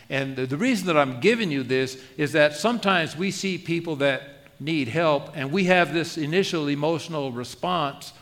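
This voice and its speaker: A man's voice: on the high side, a bit bright. A man preaching a sermon as pastor, conversational and self-deprecating, often asking the congregation rhetorical questions.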